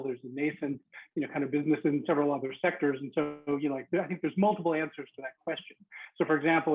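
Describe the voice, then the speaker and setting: Man, slightly high, husky, measured, tinny phone-like audio. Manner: measured, lots of "you know". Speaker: investor on a panel. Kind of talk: panel discussion.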